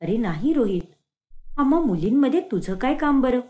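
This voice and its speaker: A woman's voice: sits high, big pitch swings, moderate pace, warm tone. A woman voicing an earnest young wife in a cartoon story. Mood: light. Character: young wife, gentle then hurt.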